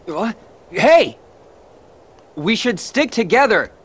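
A man's voice: high voice, sing-song, slow pace, very noisy, exaggerated voice. An actor playing a guarded, defiant teenage boy hero in a video game, terse, dry and challenging. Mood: wary.

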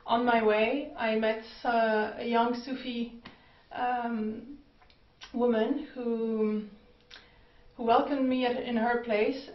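A young woman talks between pieces, hesitant and gentle, filling gaps with ums. Her voice sits a little high but barely rises or falls. She is caught from across an echoey room at home, and the sound is dull and lo-fi. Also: recorded from a distance. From Switzerland. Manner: hesitant, many ums, soft-spoken. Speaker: pianist introducing her home concert. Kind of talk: casual spoken introduction between pieces. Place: home room beside a grand piano. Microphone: far camera.

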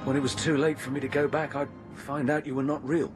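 A man's voice: mid-range voice, little pitch movement, fairly quick, over music, natural voice. An actor playing a naive, lovestruck space crewman in a science fiction radio drama, earnest and a little hesitant. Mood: hurt, bewildered.

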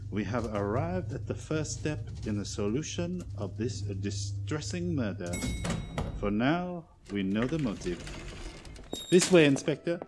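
An actor playing a shrewd, polite Belgian detective with a French-speaking accent, speaking formally and precisely in 1940s radio style. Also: male voice, mid-range voice, big pitch swings, unhurried, noisy background. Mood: measured. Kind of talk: 1940s radio drama acting. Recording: old radio drama with music.

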